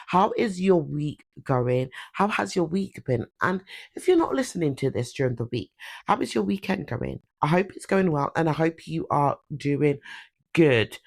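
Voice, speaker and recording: A woman's voice: deep voice, creaky, darkish tone. A young woman hosting a solo podcast, chatting in a bubbly, self-correcting way while congested with a cold. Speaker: podcast host. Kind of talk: casual solo podcast chat.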